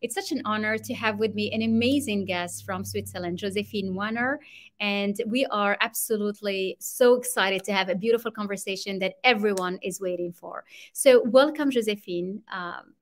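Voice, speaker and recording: A woman's voice: mid-range voice, normal speed. Effusive woman hosting a live interview. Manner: effusive, welcoming. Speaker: host of an online interview series.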